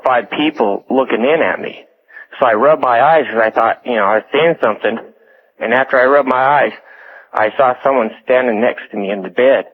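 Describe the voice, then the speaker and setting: A young American man phoning a radio show to tell a personal story casually, in a halting, hesitant way over a telephone line. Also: medium pitch. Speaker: phone-in caller. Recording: tinny phone line on radio.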